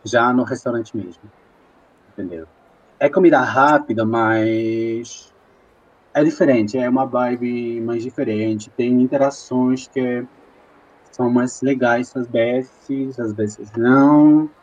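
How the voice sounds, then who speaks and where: A young Peruvian man, a native Spanish speaker with a clear accent, telling his story in an interview. He talks slowly and loosely, dropping in lots of fillers. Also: medium pitch, dull audio. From Peru. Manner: slow, unhurried, chatty with many fillers. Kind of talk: casual personal storytelling.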